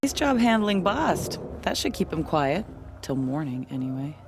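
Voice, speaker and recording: Lively, low-voiced young female rival. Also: normal speed, noisy background. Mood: confident, teasing. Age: young adult. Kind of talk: video game cutscene acting.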